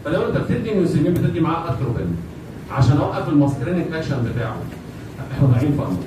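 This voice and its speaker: A man's voice: a little high, lively intonation, very fast. A man with an Egyptian accent lecturing informally to a class, mixing in English medical terms, repeating phrases and checking that students follow. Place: indoor classroom at a whiteboard with students. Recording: very echoey, noisy classroom, distant microphone.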